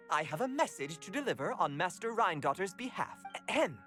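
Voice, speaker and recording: A woman's voice: fairly low pitch, sing-song, moderate pace, a bit bright, faint music behind. A woman voicing a prim, pompous talking cat messenger who speaks formally and self-importantly in a video game. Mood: officious.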